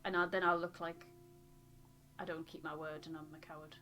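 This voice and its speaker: A woman's voice: low voice, flat delivery, brisk, fairly bright tone, soft background music. A woman co-hosting a game playthrough, speaking in a casual, hesitant, conversational way. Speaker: video game playthrough co-host. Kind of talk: casual reactions mixed with acted game dialogue.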